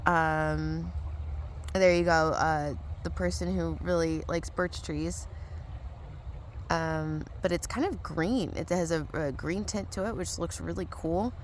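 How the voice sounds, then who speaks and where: Hoarse low-voiced woman vlogging outdoors. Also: slow pace, a little hiss or hum. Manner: relaxed, rambling, thinking aloud. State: hoarse, losing her voice. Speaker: mindset coach vlogger. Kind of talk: casual vlog chat. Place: outdoors on a walk. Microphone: phone held in hand.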